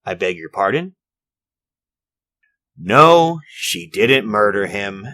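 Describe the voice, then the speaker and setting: A man's voice: on the low side, very animated, takes it slowly, pushed and bright, exaggerated voice. An actor playing a fussy, orderly, mild-mannered man with a prim, hesitant, polite manner in a retro radio drama. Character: fussy, orderly, mild-mannered male workshop owner recounting a murder.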